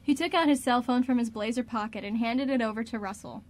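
Female narrator, fairly high voice, scripted storytelling, radio drama. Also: quick pace, a little hiss or hum.

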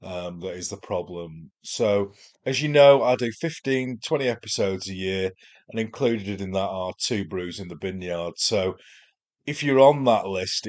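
A man with a Yorkshire accent hosting a wargaming podcast, talking in a rambling, self-deprecating way, his voice chesty and hoarse from a chest infection. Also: deep voice. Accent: Yorkshire English. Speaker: podcast host. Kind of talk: casual solo podcast monologue.

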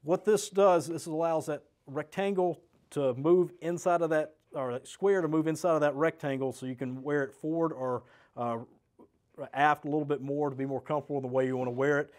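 A middle-aged man with an American accent walks through a product step by step. His voice sits a little higher than most men's. He sounds like a practical, plain-spoken sales rep giving instructions. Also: fairly even intonation, brisk, a little mellow. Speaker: sales representative presenting a product demonstration. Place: small indoor studio set with desk and shelves.

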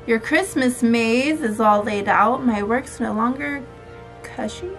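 Mother in her thirties, high-pitched and sing-song, reading to a small child at home, faint music behind. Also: slow. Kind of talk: storybook read-aloud and casual chat with a child. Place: small room at home, indoors.

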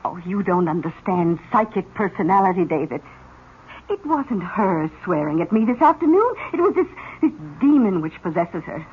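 Doting, lively-voiced older aunt. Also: female voice, fairly low pitch, moderate pace, slightly rough. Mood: earnest. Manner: theatrical, coaxing, repeats herself. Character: doting, credulous older aunt, soothing and insistent. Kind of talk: 1970s radio drama acting. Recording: muffled, noisy vintage radio broadcast.

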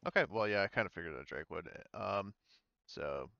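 Male game master, informal and halting, smooth low voice, close dry slightly dull recording. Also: animated, bright, forceful tone, dry recording. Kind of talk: improvised narration of a tabletop roleplaying game.

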